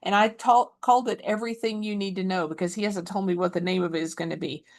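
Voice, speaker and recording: A woman's voice: mid-range voice, steady tone, fast talker. A woman hosting an online chapter meeting, chatting enthusiastically through the upcoming events over a video call. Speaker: chapter host. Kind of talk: announcements and introduction.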